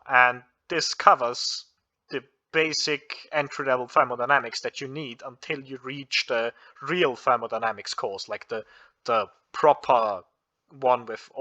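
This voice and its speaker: Austrian man, bright earnest talker. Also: medium pitch. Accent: Austrian German. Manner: earnest, many restarts and fillers. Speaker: physics student and channel host. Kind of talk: casual explanatory monologue.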